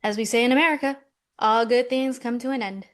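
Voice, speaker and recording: No-nonsense young American twin sister, acted by a woman, impatient and practical, slightly high voice, romcom script read. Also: fairly even intonation, fairly quick, lightly acted. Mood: brisk. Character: pragmatic, exasperated millennial American female twin. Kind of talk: comedic table read.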